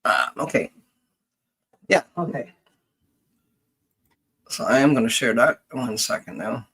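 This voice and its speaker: A middle-aged man hosting a casual livestream as a genealogist, rambling and thinking aloud in a halting way. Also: medium pitch, bright, forceful tone, husky.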